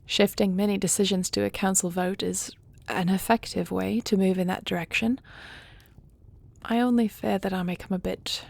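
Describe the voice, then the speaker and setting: A woman playing a dutiful, gracious dragon-shifter queen in a fantasy audio roleplay, speaking in formal, deliberate phrases. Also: medium pitch, fairly even intonation, gravelly, a little mellow. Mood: reflective.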